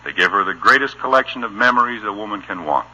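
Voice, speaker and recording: Low, rough-edged American radio dad. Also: male voice, animated, fast, full character voice, rough recording. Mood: gently earnest. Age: middle-aged. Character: exasperated but tender middle-aged family father. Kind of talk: 1950s American radio sitcom acting. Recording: bright, rough old radio recording.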